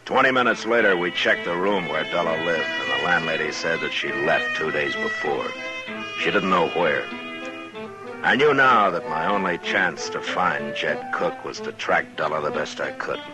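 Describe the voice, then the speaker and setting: Very deep-voiced, stern frontier marshal. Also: male voice, expressive. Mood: grave narrating. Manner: terse, measured, commanding. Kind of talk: old-time radio western acting and narration. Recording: vintage radio drama with music bed.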